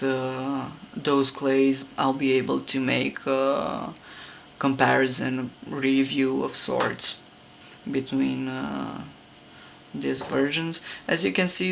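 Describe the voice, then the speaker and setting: A young man with a Romanian accent, a non-native English speaker, talking casually at home about his sculpting process with many pauses and fillers. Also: mid-range voice, vocal fry, fairly bright tone, slight background noise. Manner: hesitant, many fillers and restarts. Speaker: hobby sculptor. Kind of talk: casual tutorial talk. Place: home room.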